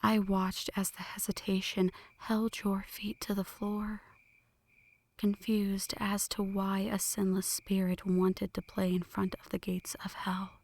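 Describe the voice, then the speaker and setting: A woman playing an ancient, lovestruck vampire in a slow, breathy whispered ASMR roleplay, intimate and solemn, close to the microphone. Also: mid-range voice, monotone, a little mellow. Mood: wistful, intense. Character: ancient flirty female vampire, brooding, lovestruck, world-weary. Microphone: close microphone, dry.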